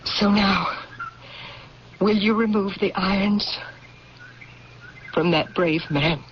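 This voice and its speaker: An actress playing a grieving, proud and resolute general's widow in an old-time radio drama, speaking formally and with theatrical feeling. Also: female voice, medium pitch, slow pace, natural voice. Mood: confessional, anguished. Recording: muffled, hissy old radio recording.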